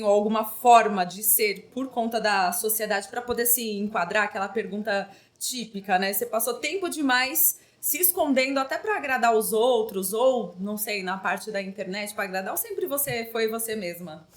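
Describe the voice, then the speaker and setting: Young woman, podcast host, slightly high voice, chatty, laughs easily. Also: expressive, moderate pace. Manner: chatty, laughs easily, asks long rambling questions. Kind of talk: casual interview chat.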